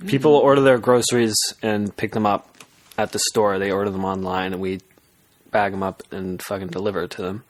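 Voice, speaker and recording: Young man telling a halting, casual story. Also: lowish voice, steady tone. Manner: halting, self-interrupting, swears casually. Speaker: podcast co-host telling a work story. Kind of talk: casual storytelling chat with friends.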